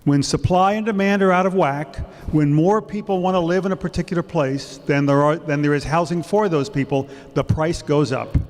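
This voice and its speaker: An older man giving an explanatory public talk on housing into a handheld microphone, emphatic and loud-voiced. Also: fairly high pitch, moderate pace, few pauses. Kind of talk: lecture to a public audience. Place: public hall. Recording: reverberant hall.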